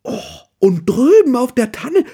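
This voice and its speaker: A storyteller voicing a big, easygoing, cheerful female bear in a children's story, drawn-out and high when she exclaims. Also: sing-song, creaky, mellow, heavily acted. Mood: delighted.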